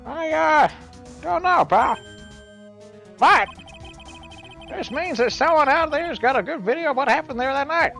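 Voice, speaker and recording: A performer voicing a scruffy, eager, bumbling male police detective with a folksy, excitable manner in a live game fan dub. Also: high voice, measured pace, vocal fry, pauses often, heavily acted. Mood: puzzled. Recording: music behind the voice.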